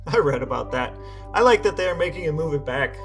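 Guy reading texts out dramatically, highish voice that moves around a lot. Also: animated, fast, soft background music. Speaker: podcast co-host. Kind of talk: dramatic reading of text messages.

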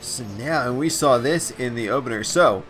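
Man, let's play host, raspy stuffed-up voice, excitable, faint game music behind. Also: medium pitch, moderate pace. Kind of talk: gameplay commentary.

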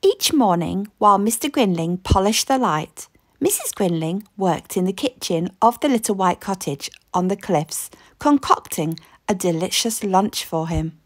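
A woman reading a children's story aloud with expressive, deliberate, dramatic narration. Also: mid-range voice, dry recording. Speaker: storybook reader.

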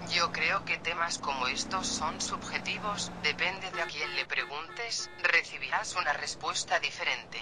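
A man's voice: on the high side, a little slow, hardly any pauses, over music, lightly acted. A man playing an opinionated, formal commentator on a scripted radio show. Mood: measured, opinionated.

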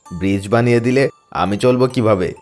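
A man's voice: mid-range voice, a little fast, a little mellow, soft background music, natural voice. A man voicing a selfish, complaining village man in a cartoon. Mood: indignant. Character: selfish village man, politician's grumbling relative.

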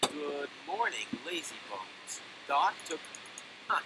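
An actor playing a cheeky, self-satisfied young hero in a cartoon adventure game, flippant and theatrical. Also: male voice, on the high side, very animated, slow. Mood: flippant, teasing. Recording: game playback under clear noise.

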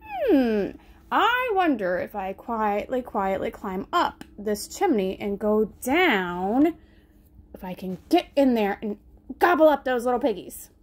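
A woman's voice: on the high side, very animated, takes it slowly, full character voice. A woman doing a blustering big bad wolf. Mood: scheming. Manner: blustering, theatrical.

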